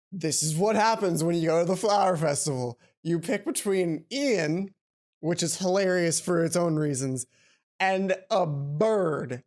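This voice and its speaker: A woman's voice: sits low, a little mellow. A woman hosting a let's play, reading a visual novel aloud and adding casual commentary.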